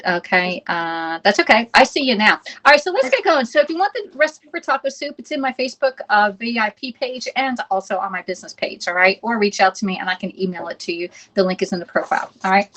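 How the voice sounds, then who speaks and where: A woman's voice: medium pitch, lively intonation, fast talker, few pauses, fairly bright tone. A woman from the United States hosting a live cooking stream, chatting casually and reading viewer comments aloud while she fumbles with the app.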